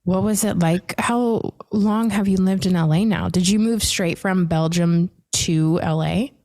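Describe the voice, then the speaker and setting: A woman in her thirties hosting a podcast, bubbly and giggly, talking fast and jittery on coffee through headphones. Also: on the low side, mellow, dry recording. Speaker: podcast host. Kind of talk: casual interview chat.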